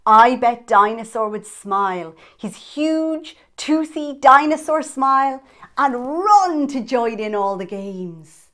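A woman's voice: fairly high pitch, lively intonation, takes it slowly, fairly bright tone. A woman in her thirties hosting storytime, reading a picture book aloud expressively to young children with dramatic emphasis.

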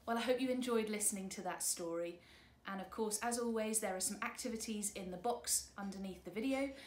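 A woman's voice: mid-range voice, a little fast. A British woman in her forties narrating a children's storybook expressively, as a teacher would.

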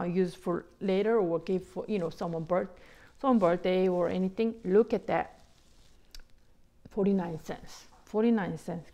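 A middle-aged woman, not a native English speaker, excitedly chatting to viewers about bargain clothes in simple English with many "you know"s. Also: mid-range voice. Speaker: vlogger showing a shopping haul. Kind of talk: casual chat to viewers.

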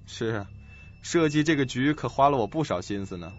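A man's voice: medium pitch, lively intonation, fairly quick, muffled recording, slight background noise, close to an everyday voice. A man playing a scheming, calculating doctor with a sly manner in a suspense radio play. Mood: smug, scheming. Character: scheming, smug, suspicious male doctor. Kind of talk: audio suspense drama acting. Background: music.

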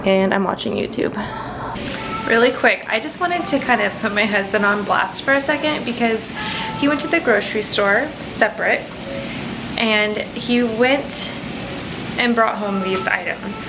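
A woman's voice: on the high side, a little slow. A young adult woman chatting casually as a fitness vlogger into a handheld camera at home. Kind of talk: casual vlog chat. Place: home kitchen and room indoors. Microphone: handheld camera at arm's length. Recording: clear household background noise.

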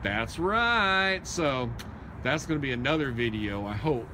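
An easygoing middle-aged man trading jokes in a mid-pitched voice. He is recorded outdoors on a handheld phone, and the recording has plenty of background noise. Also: animated, measured pace. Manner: joking, easygoing banter. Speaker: vlog co-host. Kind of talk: casual chat. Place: outdoors at storage units and a yard. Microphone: handheld phone camera.

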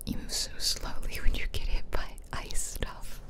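A woman whispering gently and chattily in ASMR style close to the microphone as she hosts a game playthrough. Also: normal speed. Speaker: gaming video host. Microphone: close microphone, ear to ear.

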